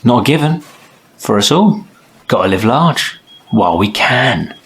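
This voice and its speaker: A man's voice: medium pitch, big pitch swings, unhurried, fairly bright tone. A man playing a bitter, reckless young student son in an audio drama, clipped, sullen and sweary. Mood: sullen defiance.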